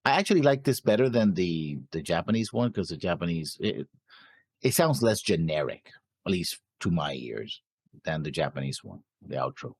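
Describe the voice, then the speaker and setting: Deep-voiced man with lively pitch. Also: normal speed, breathy edge, no echo. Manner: laid-back, agreeable, lots of fillers. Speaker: anime reaction show co-host. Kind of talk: casual discussion.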